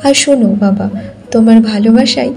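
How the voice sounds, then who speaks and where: A woman voicing a gentle, dutiful young village woman in a cartoon folk tale, speaking in an earnest, pleading manner. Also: on the high side, a little fast, gravelly. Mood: reassuring.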